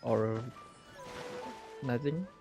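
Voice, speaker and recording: A man's voice: mid-range voice, drawn-out words, vocal fry. A Malaysian-accented man giving casual live game commentary, talking to himself in short slangy exclamations. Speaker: game streamer. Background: game music.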